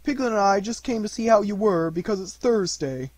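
Guy doing a cheerful storybook teddy bear, high bouncy pitch, earnest and a bit naive. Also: animated, fairly quick, a little mellow, no room sound. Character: friendly, simple, cheerful male toy bear.

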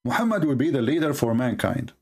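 A man's voice: medium pitch, sing-song, quick pace, a little mellow, breathy edge. A man whose first language is Arabic, hosting a heated debate and reading from texts on screen in a mocking, combative way. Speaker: debate host. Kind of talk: screen-share debate commentary.

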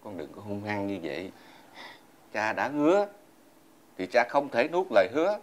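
A man's voice: on the low side, sing-song, normal speed. A man playing a troubled, apologetic father in a fairy-tale television drama, earnest and hesitant, with a Southern Vietnamese accent.

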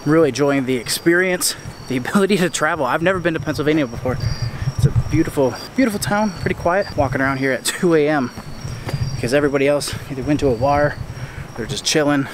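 A young man with an American accent and a slightly high voice chats to a handheld camera. He is earnest and rambling, with casual bro slang. Clear street noise surrounds him outdoors. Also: fast. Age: young adult. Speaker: tour videographer and vlogger. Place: walking on a town street at night. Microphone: camera at arm's length. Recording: noisy outdoor street at night.

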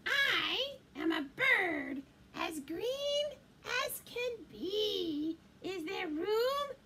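Woman as a very high-pitched, polite storybook bird. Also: very animated, very slow, noisy background, exaggerated voice. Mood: eager, polite. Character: polite green bird asking to join. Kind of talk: animated children's storybook reading.

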